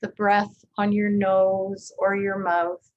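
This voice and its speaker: A woman leading a guided body scan meditation, speaking gently and calmly with long, unhurried pauses. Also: medium pitch, lo-fi sound, a little hiss or hum. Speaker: meditation teacher.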